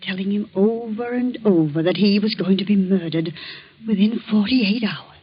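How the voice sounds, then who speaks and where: A woman's voice: medium pitch, normal speed, slightly rough. An American woman playing an anxious, earnest middle-aged wife and mother in a 1940s radio drama, fretful and hesitant. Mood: nervous, earnest. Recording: muffled old radio recording.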